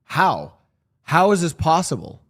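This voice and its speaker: A man's voice: on the high side, big pitch swings, measured pace, a bit bright, breathy edge. A man live-streaming, chatting casually to his viewers and reacting to a clip, rambling and swearing freely. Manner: swearing, rambling, talks to chat.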